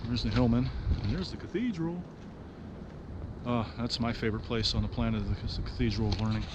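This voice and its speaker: A man's voice: medium pitch, fairly quick, muffled recording. A man in his forties with a head cold, walking a city street at night and talking bluntly and profanely into a handheld phone camera. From Pittsburgh, United States. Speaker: vlogger. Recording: street noise behind the voice.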